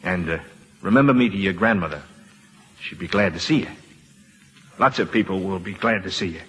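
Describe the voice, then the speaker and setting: Gruff, husky, low-voiced tough guy. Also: fairly bright tone, exaggerated voice. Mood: awkward. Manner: gruff, terse, halting. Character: gruff, guarded tough war veteran, awkward with feelings. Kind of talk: old-time radio drama acting. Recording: reverberant old radio broadcast.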